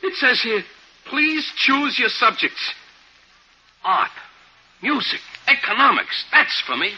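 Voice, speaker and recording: An actor playing a naive, eager, jittery working-class man with a husky voice in a 1950s radio comedy-drama. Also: very high-pitched, very animated, slow pace, sharp tone, heavily acted. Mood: excited, proud. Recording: dull old radio recording.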